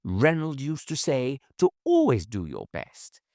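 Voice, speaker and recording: A man voicing a humble, gentle elderly woman in an audiobook reading. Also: mid-range voice, huge pitch swings, unhurried, no room sound, studio quality, voice pushed up.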